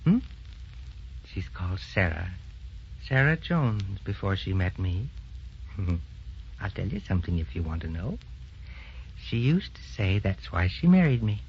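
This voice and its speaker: A man's voice: low voice, sing-song, a little slow, gravelly, pauses often, slight background noise, heavily acted. An actor playing a forgetful old grandfather who dotes on his wife, testy and rambling, in a sentimental radio drama. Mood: rambling, proud. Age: older. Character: feeble, forgetful old grandfather, doting husband. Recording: muffled old radio recording with music.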